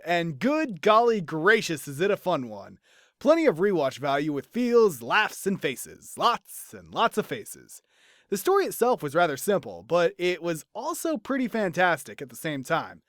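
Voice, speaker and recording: A man giving an enthusiastic, animated scripted review as a cartoon episode commentator, with instrumental music behind him. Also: on the high side, dry recording, clean studio sound. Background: instrumental background music.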